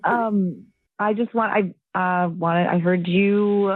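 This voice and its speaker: A woman's voice: medium pitch, fairly even intonation, slow, no room sound. A woman caller talking casually and hesitantly over the telephone, sounding awkward. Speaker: radio show caller. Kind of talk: casual phone conversation. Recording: tinny, dry phone line.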